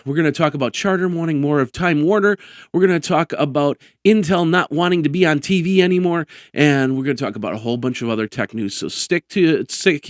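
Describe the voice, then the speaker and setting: A man with a hoarse, raspy voice hosts a live tech news podcast, talking energetically and rambling with lots of fillers. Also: fairly high pitch, no echo, clean studio sound. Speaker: tech show host.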